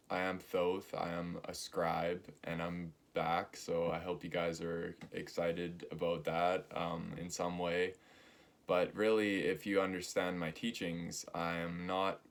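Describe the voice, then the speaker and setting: A man in his early twenties giving a spiritual talk, slow and halting, with many pauses and fillers. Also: deep voice, gravelly. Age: 20s. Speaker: spiritual teacher.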